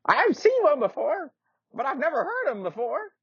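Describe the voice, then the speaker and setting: A man voicing a frail, boastful elderly knight in a squeaky, quavering voice that cries out. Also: huge pitch swings, moderate pace, dry recording, heavily acted. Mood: explaining. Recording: dull lo-fi recording.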